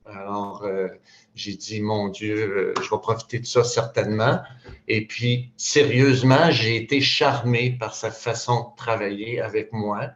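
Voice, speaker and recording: Man, 60s, Quebec French accent, halting casual talk, echoey room, muffled lo-fi sound. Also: lowish voice, fairly even intonation, fairly bright tone, a little hiss or hum. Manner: hesitant, many fillers and restarts. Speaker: panel speaker, retired psychoeducator. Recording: roomy, dull lo-fi sound.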